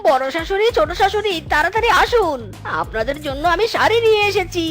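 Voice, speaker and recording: A performer voicing an excitable, cheeky young male cartoon animal son-in-law in exaggerated, exclaiming dialogue. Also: very high-pitched, fast, faint music behind. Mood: frantic, eager.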